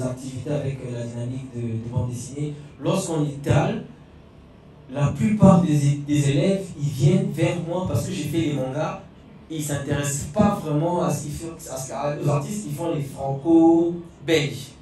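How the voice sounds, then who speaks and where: Heavy room echo, faint music, man, Congolese French accent, dark soft tone, artist explaining, repeated starts. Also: a little high, moderate pace. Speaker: manga artist on a panel. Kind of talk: informal explanation to an audience. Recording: very echoey room, distant mic, music behind.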